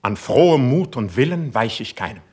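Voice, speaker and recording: A proud, sensitive young court poet in a classical radio drama. His voice is mid-pitched and husky. He declaims his verse with fervour and lofty, high-flown feeling. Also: animated, moderate pace, a little mellow. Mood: ardent, pleading. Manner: fervent, lofty, declamatory delivery. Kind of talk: classical German verse stage acting for radio play.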